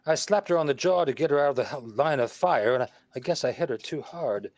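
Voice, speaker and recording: A male actor as a nonchalant, witty husband who sleuths in a 1930s radio mystery. His pitch swings widely at a middle height. He keeps up wry, evasive banter. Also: animated, brisk, a little mellow, lightly acted. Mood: glib. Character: flippant, witty, nonchalant husband and amateur detective.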